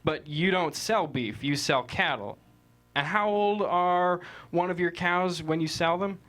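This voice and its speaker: A man's voice: sits high, a little slow, fairly bright tone. A man playing a probing, argumentative defense lawyer with methodical questioning in a courtroom drama table read.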